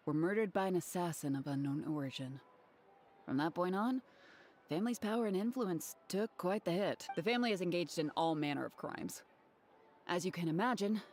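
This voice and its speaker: A woman's voice: on the low side, darkish tone, a little hiss or hum, natural voice. An actress voicing an earnest, businesslike female guard officer in a video game, speaking formally and articulately. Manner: formal, articulate, matter-of-fact. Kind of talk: scripted video game voice acting. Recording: game audio with background music. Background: background music.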